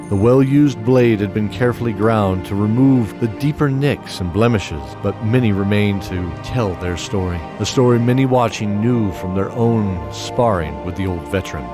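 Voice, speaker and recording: A man narrating a fantasy audio drama in a measured storytelling manner. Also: lowish voice.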